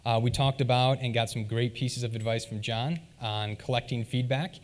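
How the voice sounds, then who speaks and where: A man giving a relaxed, conversational conference talk on stage and leading audience questions, with frequent "um"s. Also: mid-range voice, normal speed. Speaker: conference presenter.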